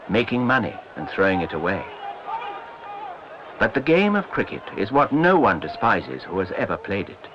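Low, lively man reading over crowd noise. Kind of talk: formal literary reading. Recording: dull, noisy soundtrack with crowd sound.

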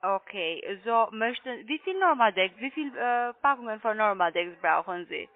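A woman's voice: medium pitch, animated, moderate pace, dry recording. A non-native woman with a foreign accent, speaking politely and hesitantly as a call centre sales agent on a tinny phone line. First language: not German.

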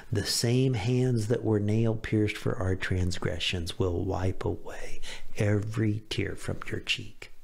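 Gravelly American pastor, earnest and deliberate. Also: male voice, mid-range voice, a little mellow. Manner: earnest, deliberate phrasing with emphatic lists. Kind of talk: devotional sermon to camera. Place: small home office, indoors. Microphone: close studio microphone on a boom arm.

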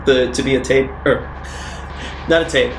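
A man's voice: mid-range voice, steady tone, normal speed, background noise. A man playing in a tabletop roleplaying game, improvising casually in a dry, offhand way. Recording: roomy sound under loud guitar music.

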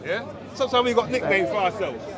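A man's voice: sits high, expressive, fast talker. A middle-aged man debating outdoors in a city park, reciting verses and insistently checking in with "yeah?". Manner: insistent, keeps asking "yeah?". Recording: crowd murmur behind.

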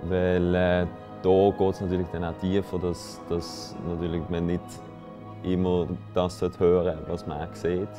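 A young man with a Swiss German accent speaks earnestly, with small hesitations. His voice is very deep and level, and music runs clearly underneath. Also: completely flat delivery, unhurried. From Basel, Switzerland. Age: young adult. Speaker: film music competitor. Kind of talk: interview. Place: indoor room.

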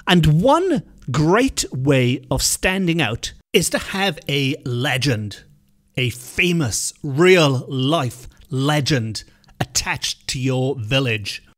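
Lively Welsh man hosting a podcast. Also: medium pitch, takes it slowly. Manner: chatty and self-deprecating, with small restarts. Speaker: podcast host. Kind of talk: solo podcast narration on folklore.

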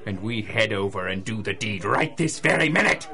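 An actor playing a gleeful, scheming gangster boss in a 1930s radio drama, with a theatrical, taunting manner. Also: male voice, fairly low pitch, lively intonation, normal speed, vocal fry, bright, forceful tone, heavily acted. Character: gleeful, scheming male gangster crime boss, the Mad Monkey.

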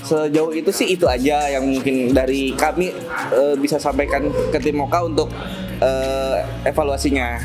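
A man's voice: medium pitch, moderate pace, music in the background. A young man, the tournament organizer, chatting casually in an interview with lots of fillers, indoors at a cafe. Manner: relaxed, chatty, many fillers and laughs. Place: cafe stage in front of a decorated wall, indoors.

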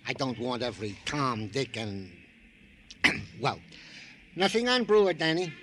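An actor playing a chatty, jokey, doting police sergeant in an old radio drama, with a wordy, mock-formal manner. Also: male voice, mid-range voice, big pitch swings, unhurried, fairly bright tone. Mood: reporting, wry. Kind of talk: 1940s-50s radio acting. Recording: noisy vintage radio recording.

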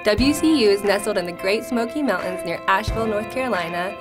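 A woman's voice: medium pitch, quick pace. Polished woman narrating over music. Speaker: international services staff. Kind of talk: scripted promotional narration. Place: university building lobby. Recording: music bed underneath.

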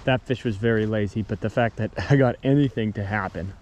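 A man with an American accent narrating his fishing trip on a creek bank, recorded on a chest-mounted action camera, relaxed and chatty but excitable when a fish bites. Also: on the low side, brisk, dull audio. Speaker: fishing vlogger. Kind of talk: casual outdoor narration. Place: creek bank outdoors in winter.

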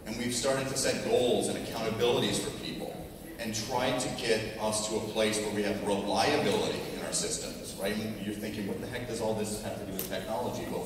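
Middle-aged American male keynote speaker, lowish slightly hoarse voice, conversational delivery, very reverberant noisy hall. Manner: conversational, restarts for emphasis, tags points with "right?". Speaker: technology executive, conference speaker. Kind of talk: informal keynote presentation to an audience. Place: large hotel conference ballroom stage. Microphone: PA heard through a distant audience camera. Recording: echoey hall, distant audience recording, noisy.

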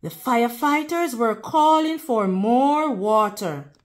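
Slow, higher-pitched woman reading to children. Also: expressive. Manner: teacherly, prompting children with questions. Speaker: read-aloud host. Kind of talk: children's picture book read-aloud with questions to listeners.

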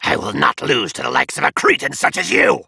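Casting: arrogant alien parasite villain, anime game; harsh rasp, wildly swinging pitch, menacing taunts. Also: male voice, big pitch swings, a little fast, no room sound, clean studio sound, heavily acted. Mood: furious, commanding. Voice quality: raspy, gravelly. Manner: grandiose, menacing, taunting, often shouting. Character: arrogant, sadistic alien parasite conqueror, male, gloating villain. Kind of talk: theatrical anime game battle lines.

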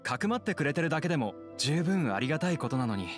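A man's voice: fairly high pitch, very animated, fast talker. An actor playing a troubled, polite young man on the run in a game, earnest, subdued and apologetic. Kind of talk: video game voice acting. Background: background music.

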